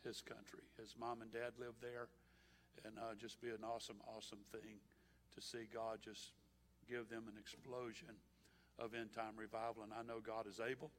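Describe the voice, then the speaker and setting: A man in a church introducing a guest preacher, rambling and hesitant before building to a loud welcome. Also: lowish voice, level tone, normal speed. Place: church sanctuary.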